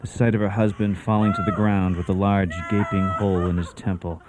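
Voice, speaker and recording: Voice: low voice, little pitch movement, a little fast. A storyteller delivering dramatic scripted narration for a radio tale. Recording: clearly noisy background.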